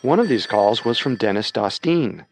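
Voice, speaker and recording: A man co-hosting a scripted true-crime show, narrating in a measured storytelling manner. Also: on the low side, expressive, slight background noise. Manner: measured storytelling with rhetorical questions. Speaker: co-host narrator.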